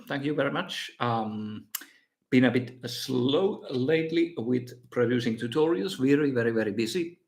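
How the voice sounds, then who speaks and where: A man's voice: medium pitch, a bit bright. A man with a Finnish accent, hosting a casual live stream Q&A and answering viewer questions with many fillers and restarts. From Finland. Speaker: miniature painting streamer.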